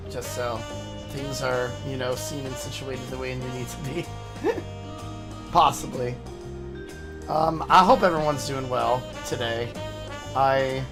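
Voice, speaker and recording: A man streaming casually to his viewers, his voice a little raspy from allergies, talking in a relaxed, rambling way. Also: medium pitch. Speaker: VTuber streamer. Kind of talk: casual livestream chat. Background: game music.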